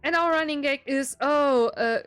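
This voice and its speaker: A woman's voice: high-pitched, moderate pace, fairly bright tone. Chatty German-accented young woman. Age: 20s. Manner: chatty, self-deprecating, laughs often, some restarts. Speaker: gaming video creator. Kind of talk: casual gameplay explanation.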